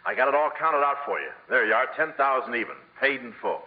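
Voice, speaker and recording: Glib male con artist, acted, 1950s radio comedy, joking, lively swooping delivery, muffled old broadcast. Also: mid-range voice, quick pace, lightly acted. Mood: glib, cheeky. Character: fast-talking wisecracking small-time con man.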